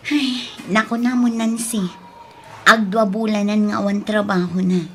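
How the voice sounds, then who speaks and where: A woman's voice: medium pitch, fairly even intonation, unhurried, fairly bright tone. An actress with an Ilocano accent playing an anxious, pleading wife and mother in a radio drama. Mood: worried. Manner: fretful, pleading. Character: anxious wife and mother fretting over money. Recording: clear background ambient noise.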